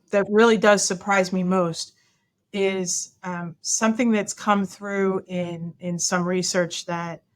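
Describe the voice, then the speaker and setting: A woman in her fifties answering interview questions over a video call from an office, thoughtful and earnest, restarting her sentences often with many ums. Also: medium pitch. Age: 50s. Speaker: guest. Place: office with a large window.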